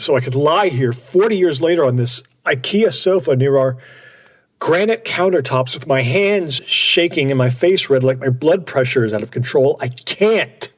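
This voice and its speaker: Audio drama: male actor as burned-out office man in his forties, slightly husky voice, halting confessional narration. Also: medium pitch, close to an everyday voice. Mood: desperate, pleading. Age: 40s. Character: burned-out, anguished middle-aged office man recounting his breakdown.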